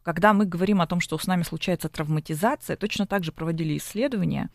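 Woman, psychology guest, gravelly voice, lecturing, dry close sound. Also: fairly low pitch, fast, no echo. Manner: lecturing, with frequent tag questions. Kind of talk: explanatory broadcast interview.

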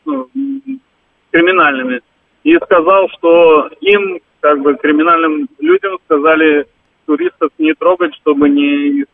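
A male caller to a radio show, halting and searching for words. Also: on the high side, very animated, dry recording. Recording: phone line.

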